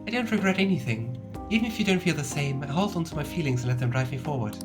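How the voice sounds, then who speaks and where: A woman's voice: low-pitched, expressive, fast. Woman voicing a shy, earnest, hesitant teenage girl. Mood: earnest, tender. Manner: hesitant, stammering, polite. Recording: music playing behind the voice.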